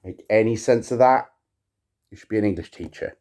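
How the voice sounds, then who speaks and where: A man's voice: on the low side, a little mellow. A man giving a theatrical poetry reading, with wry, playful and self-mocking chatty delivery as he hosts his own video. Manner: slow, dramatic delivery of verse. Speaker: video creator and host reading poetry aloud.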